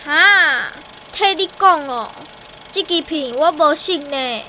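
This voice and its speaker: A woman's voice: high voice, expressive, slow pace, sharp tone, a little hiss or hum, exaggerated voice. A woman voicing an eager but timid young boy apprentice in a radio drama, with a Taiwanese accent. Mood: nervous.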